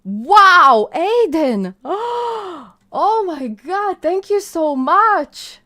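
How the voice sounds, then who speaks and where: A woman's voice: very high-pitched, very animated, extremely slow, sharp tone. A woman from Israel with a Hebrew accent, hosting her channel's live stream and chatting casually as she reads viewer comments, effusive and full of fillers. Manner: chatty and effusive, gushing with thanks, many fillers and restarts. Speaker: channel host.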